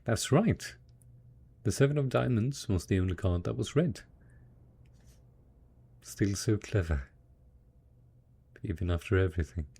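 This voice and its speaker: A man plays a devoted husband in a post-apocalyptic roleplay drama, talking softly and intimately to the spouse he cares for. His voice is fairly low and husky, and he takes his time. He is encouraging and hopeful, full of endearments. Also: lively intonation, measured pace, pauses often, heavily acted. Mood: gently coaxing. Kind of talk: roleplay audio drama, intimate monologue addressed to listener.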